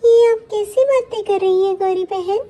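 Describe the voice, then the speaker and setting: A woman voicing a gentle, honest mother bird in a children's cartoon story, speaking politely and pleadingly. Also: extremely high pitch, moderate pace. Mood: puzzled, polite. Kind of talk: Hindi children's moral story cartoon dialogue.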